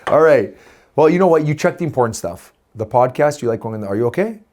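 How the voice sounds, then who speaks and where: A man's voice: mid-range voice, animated, fast, a little mellow. Man, podcast co-host, playful and teasing, casual chat. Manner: playful, teasing, leads the segments.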